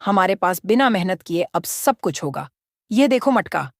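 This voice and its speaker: A woman's voice: mid-range voice, very animated, moderate pace, creaky, no echo, studio quality. A woman voicing a jealous, scheming village man, bossy and impatient, in storybook dialogue.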